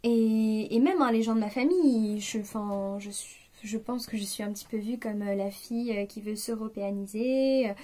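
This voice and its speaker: A woman's voice: a little high, moderate pace, mellow. A woman in her late twenties talking spontaneously to the camera, hesitant and confiding, with many fillers and restarts. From France. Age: 20s. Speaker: vlogger. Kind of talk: spontaneous personal reflection.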